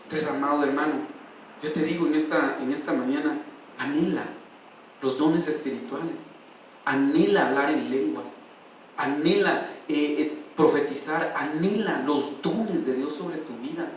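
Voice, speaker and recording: A man's voice: a little high, a little slow, slight background noise. A male pastor preaching a sermon and sharing a personal testimony with feeling, often calling out to his listeners as brother. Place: church. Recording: distant, echoey church hall.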